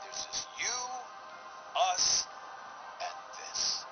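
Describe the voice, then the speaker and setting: An actor playing a smug, mocking middle-aged villain henchman in a video game, with a sardonic, theatrical drawl. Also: very slow. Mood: smug explaining. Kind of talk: video game cutscene acting. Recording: muffled lo-fi game capture, loud music.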